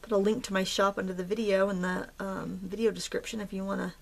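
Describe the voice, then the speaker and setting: A woman hosting a craft tutorial, chatting in a relaxed, rambling way as she paints. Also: medium pitch, fast talker, creaky. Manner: relaxed, rambling, with fillers and soft laughs. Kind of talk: casual chatty tutorial narration.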